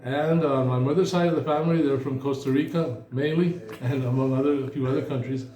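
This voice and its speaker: A man in his fifties from the United States, an attorney answering interview questions slowly with many pauses and fillers. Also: mid-range voice, fairly even intonation, mellow, reverberant. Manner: slow, hesitant, many fillers.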